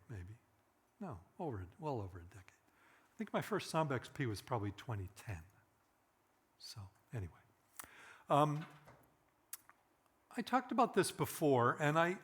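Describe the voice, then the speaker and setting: A middle-aged man presents at a technical conference with a slightly hoarse voice at an ordinary male pitch. His delivery is informal and conversational, with hedged asides as if he is thinking aloud. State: voice getting hoarse.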